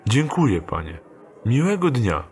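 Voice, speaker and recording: A man's voice: mid-range voice, sing-song, measured pace, a little mellow. A crafty thief passing himself off as a well-mannered messenger, played by a man in an audio drama. His speech is formal and old-fashioned, with a very varied melody. Faint music sits behind him. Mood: smoothly deferential. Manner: formal, polite, archaic courtly phrasing. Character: sly male thief posing as a courteous gentleman messenger.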